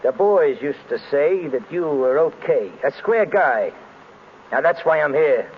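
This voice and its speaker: Defensive hoodlum, slightly high pitch, slangy, clipped, 1930s radio drama, noisy recording. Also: male voice, animated, a little slow, lo-fi sound. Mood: insistent. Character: tough, jittery gangster with a gun, on the defensive.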